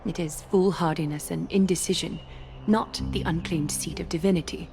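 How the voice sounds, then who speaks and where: A woman playing a composed, solemn noblewoman leader in a video game, speaking in a measured, formal, grave manner. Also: on the low side, steady tone, creaky, a little mellow, a little hiss or hum. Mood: stern, composed. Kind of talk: scripted video game voice acting.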